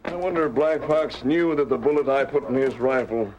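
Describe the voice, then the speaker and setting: An actor playing a noble, clever cowboy hero in a vintage radio western, earnest and formal. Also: male voice, medium pitch, brisk, darkish tone, close to an everyday voice. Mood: earnest, assured. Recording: noisy vintage radio recording.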